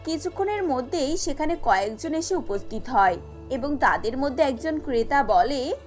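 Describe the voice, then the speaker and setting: A woman's voice: high-pitched, lively intonation, normal speed, faint music behind. A woman narrator reading a scripted cartoon story.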